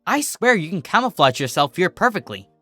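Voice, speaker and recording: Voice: medium pitch, very animated, a little fast, pushed and bright, dry recording, faint music behind, pitched above the performer's own voice. A performer voicing a mischievous young female cartoon unicorn, smug, playful and teasing.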